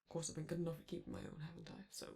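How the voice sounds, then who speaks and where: A man's voice: fairly high pitch, huge pitch swings, rapid-fire, creaky, mellow, exaggerated voice. A man voicing a flamboyant, doting male robot star in a romantic ASMR roleplay, theatrical and coaxing, calling the listener darling. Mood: coaxing, flirty.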